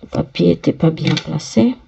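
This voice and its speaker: A woman with a cold, her voice stuffy, slowly talking viewers through each step of fitting a part on a sewing machine. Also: low-pitched, creaky, dark tone, breathy edge, muffled recording. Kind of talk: step-by-step workshop demonstration.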